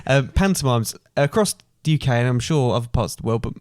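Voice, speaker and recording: A British man with a medium-pitched voice, co-hosting a podcast in loose, casual conversation. He has a teasing, joking manner, and the recording is very dry with no room sound. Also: animated, quick pace, a little mellow, no room sound. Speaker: podcast co-host. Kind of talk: casual chat.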